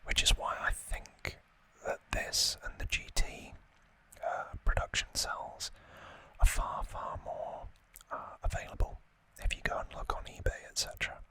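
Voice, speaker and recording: Softly whispering man, slow ASMR talk. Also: no room sound, rough recording. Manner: whispering throughout, hesitant, thoughtful pauses. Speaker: ASMR video host. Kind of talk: whispered ASMR, unscripted explanatory unboxing talk.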